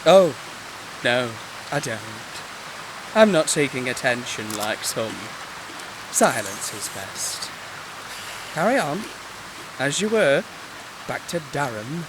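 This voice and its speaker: A British man of middling pitch, played by an actor, mopey and martyred in a radio comedy drama. He delivers pointed digs in a deadpan way, with clear rain noise behind him. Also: takes it slowly, long pauses. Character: mopey, passive-aggressive man nursing his own heartbreak. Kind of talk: naturalistic audio comedy drama. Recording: rain and outdoor ambience behind.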